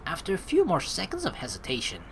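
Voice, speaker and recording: A young man hosting a game playthrough, reading dialogue aloud and adding casual, slangy, expressive commentary. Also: high-pitched, fast talker, creaky, slight background noise, poor audio. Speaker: let's play host. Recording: game music behind the voice.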